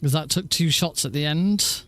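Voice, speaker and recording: A man with a naturally high voice, rambling casually and self-deprecatingly as he hosts a gaming livestream. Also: normal speed, a bit bright. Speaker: livestreaming speedrunner and host. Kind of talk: casual livestream chat over gameplay.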